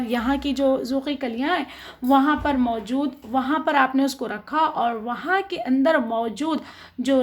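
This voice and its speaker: A woman with a high, light voice teaches a school biology class. She moves point by point, posing a question and then answering it, and checks in with the listener often. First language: Urdu. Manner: asks questions then answers them, frequent "theek hai". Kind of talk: explanatory science lesson.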